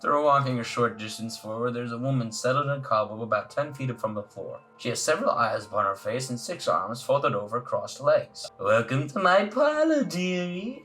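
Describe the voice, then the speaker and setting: A man's voice: mid-range voice, fairly bright tone. A man hosting a let's play, reading visual novel narration aloud in a casual way. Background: faint music.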